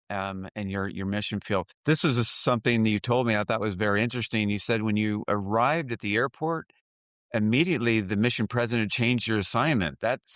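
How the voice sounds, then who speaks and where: A podcast host with a low, smooth and clear voice. He affirms his guest's story and asks gentle follow-up questions, recorded dry and close. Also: no room sound. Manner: affirming, reflective. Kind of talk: interviewing.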